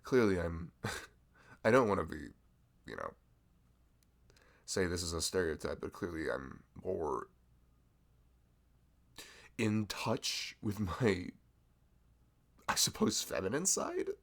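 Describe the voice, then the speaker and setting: Man playing a deep, creaky-voiced sweet young florist. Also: very animated, slow, long pauses, a bit bright, heavily acted. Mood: bashful, sincere. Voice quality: velvety, low, creaky. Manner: chatty, hesitant, rambling, self-deprecating. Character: sweet chatty young male florist, cute and bashful. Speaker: ASMR voice performer. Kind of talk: ASMR roleplay, improvised casual chat.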